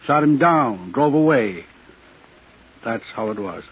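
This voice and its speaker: An actor playing an evasive, outwardly genial shop owner in a 1950s radio thriller, guarded and speaking in short phrases. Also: male voice, mid-range voice, lively intonation, slow pace, husky, heavily acted. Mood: guarded, matter-of-fact. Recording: dull, faintly noisy 1950s radio broadcast.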